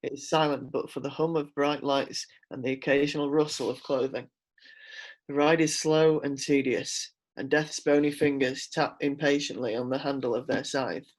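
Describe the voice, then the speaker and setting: A man's voice: medium pitch, fairly even intonation, moderate pace. A man narrating scene descriptions from a script in a dramatic reading. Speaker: script reader. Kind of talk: scripted narration, screenplay read-through.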